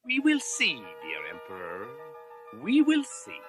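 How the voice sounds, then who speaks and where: An actor playing a menacing, cold baron in a sci-fi audio drama, speaking slowly and theatrically as he threatens. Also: fairly low pitch, wildly swooping pitch, music in the background, heavily acted. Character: menacing villainous baron, cold interrogator of a captive emperor.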